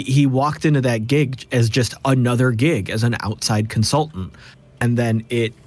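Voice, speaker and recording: A man's voice: mid-range voice, darkish tone. A man in a cast discussion, reflecting and explaining as he builds his points with repeated phrasing. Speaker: cast member of an actual play series.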